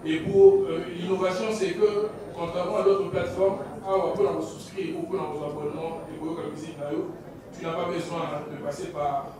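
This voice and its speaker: Man, instructive, monotone, distant, very echoey, loud crowd. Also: on the high side, normal speed. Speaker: music app representative. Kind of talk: explaining at a press conference.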